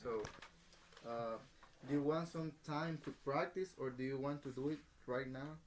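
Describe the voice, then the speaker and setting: A man's voice: mid-range voice, a little hiss or hum. Patient man teaching an English class. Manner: slow, patient, checks understanding with "right?".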